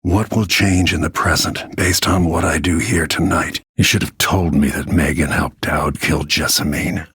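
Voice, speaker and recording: An actor playing a grim, vengeful middle-aged assassin in a video game, brooding in a gravelly, husky voice with low-key menace. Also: male voice, steady tone, moderate pace, few pauses, fairly bright tone, no room sound, clean studio sound, heavily acted. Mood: brooding, resolute. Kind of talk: dramatic game voice lines, brooding inner monologue.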